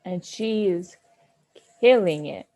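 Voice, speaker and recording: A woman's voice: on the low side, slow, dark tone. A teenage woman co-hosting a podcast, rambling in casual chat full of fillers. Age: late teens. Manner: chatty, rambling, many fillers. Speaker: podcast co-host.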